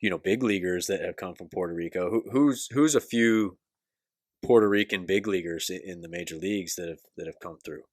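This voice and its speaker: Laid-back low-voiced podcast host. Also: male voice, fairly quick, dry recording, clean studio sound. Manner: laid-back, filler-heavy questions. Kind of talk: casual interview hosting.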